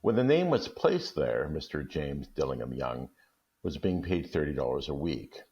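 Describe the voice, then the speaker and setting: A man reading a classic short story aloud in a steady, measured way. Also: a bass voice, lo-fi sound. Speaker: guest reader.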